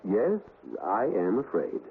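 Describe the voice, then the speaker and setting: Rattled but stubborn ghost hunter, old radio drama, very up and down, hissy dull 70s recording. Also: male voice, lowish voice, very animated. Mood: guarded, wry. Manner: deliberate, dramatic, wavering between composure and dread. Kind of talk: 1970s radio drama acting. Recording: noisy, muffled 1970s radio broadcast.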